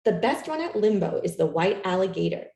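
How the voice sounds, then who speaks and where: A woman reading a children's picture book aloud in an expressive, animated storytelling manner for young listeners. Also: mid-range voice, brisk, creaky. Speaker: storytime reader. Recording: roomy, echoey room sound.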